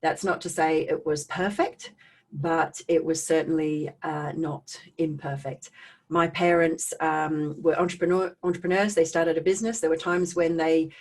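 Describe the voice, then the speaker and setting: A middle-aged woman with an Australian accent giving a personal talk to online viewers in a conversational way, with animated asides and checking in with her listeners. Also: sits low, moderate pace. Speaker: speaker hosting a live online talk on trauma recovery.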